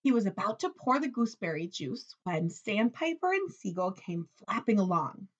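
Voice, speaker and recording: A woman's voice: mid-range voice, very animated, normal speed, muffled recording. A woman teacher reading a picture book aloud expressively, explaining simply and putting questions to young listeners.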